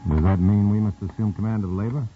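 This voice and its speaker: A man's voice: on the low side, fast talker, close to an everyday voice. An actor playing an undercover man posing as a communist, narrating and acting in a 1950s radio drama, earnest and clipped. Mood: guarded. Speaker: narrator and lead. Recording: tinny old radio broadcast, faint hiss.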